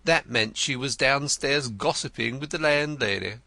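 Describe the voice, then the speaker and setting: A man's voice: medium pitch, moderate pace, creaky, sharp tone, no echo, voice pushed up. A man voicing a vain, theatrical male poet in an audiobook, florid and gushing, stammering when pressed. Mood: effusive. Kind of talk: audiobook character reading.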